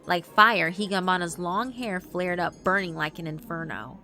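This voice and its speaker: A woman's voice: on the low side, moderate pace. A woman narrating a scripted visual novel in a theatrical, emphatic storytelling manner. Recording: music behind the voice.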